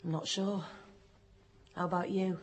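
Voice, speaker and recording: A woman's voice: lowish voice, level tone, measured pace, distant mic, close to an everyday voice. An actress playing a frank, down-to-earth woman in a radio play. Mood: tender, unsure. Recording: echoey, off mic, loud music behind.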